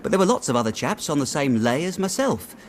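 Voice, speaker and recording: An actor playing an earnest, worried young city clerk in a radio drama, chatty and slangy but deferential. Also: male voice, fairly high pitch, big pitch swings, fast talker, slight background noise. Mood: rueful. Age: young adult.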